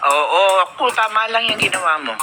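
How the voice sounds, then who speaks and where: A woman's voice: medium pitch, expressive, normal speed, natural voice. A woman playing a doting middle-aged aunt in a radio drama, speaking with a Filipino accent. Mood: supportive. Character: doting, generous aunt who readily helps. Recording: very noisy radio playback.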